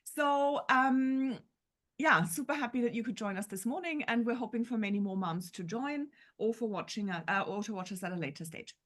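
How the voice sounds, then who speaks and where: A woman's voice: on the high side, moderate pace, a bit bright. A woman in her forties hosting an online parenting talk, upbeat and enthusiastic, on a video call from home. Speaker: host of parenting community talk. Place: home room with shelves.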